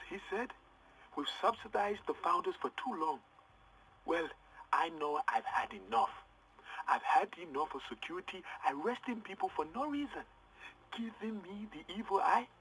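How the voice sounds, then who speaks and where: An actor playing an embittered, proud young working-class welder, confiding an audio diary in a halting way that can swell into defiance. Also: male voice, high-pitched, a bit bright, slight background noise, low-quality recording. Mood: defiant, fervent. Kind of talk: in-character audio diary monologue.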